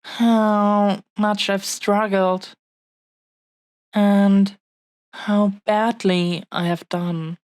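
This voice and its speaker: A woman playing a tender, possessive dragon-blooded fiancée in an intimate romantic audio roleplay, speaking haltingly with lingering, breathy pauses. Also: medium pitch, little pitch movement, darkish tone, dry recording. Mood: confessional, vulnerable.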